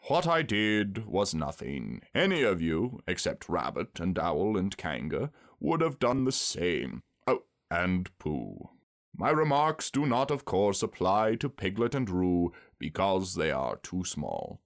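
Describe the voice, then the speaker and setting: A man voicing a gloomy, pompous, self-pitying donkey in a drawn-out, sardonic manner for a storybook. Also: sits low, sing-song, full character voice. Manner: ponderous, drawn-out, sardonic speech-making.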